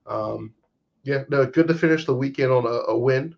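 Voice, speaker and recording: A man co-hosting a podcast, chatting casually about sports in a hesitant, halting way. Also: medium pitch, gravelly, dull audio. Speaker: podcast co-host.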